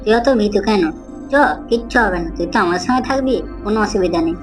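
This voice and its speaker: A woman voicing a bold young boy in a cartoon horror story, with brisk, confident, colloquial speech. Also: mid-range voice, lightly acted. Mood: bold, goading. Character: bold young boy, goading his timid friend. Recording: clear music bed behind the voice.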